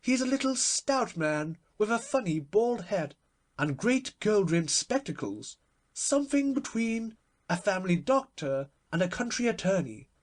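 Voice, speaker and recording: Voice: mid-range voice, sing-song, a little slow, voice pushed up. A performer voicing a gushing, witty, imperious society hostess in a theatrical, emphatic manner for a storybook reading. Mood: gushing, lively.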